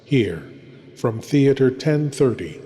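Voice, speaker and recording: A man playing a sinister, theatrical horror host lord, speaking in pompous, deliberate, intimate phrases to introduce scary tales. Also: mid-range voice, expressive, dark tone. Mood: ominous. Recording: long hall reverb.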